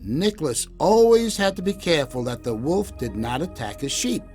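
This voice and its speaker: A man narrating a scripted children's story in an expressive, deliberate way with clear phrasing. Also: a little high. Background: background music.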